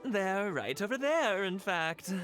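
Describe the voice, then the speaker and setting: Nervous male merchant: obsequious, flustered, theatrical game dialogue, wide pitch swings. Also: big pitch swings, takes it slowly, music in the background, exaggerated voice. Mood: cagey, explaining. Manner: stammering when rattled, theatrical, ingratiating. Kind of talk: anime game voice acting.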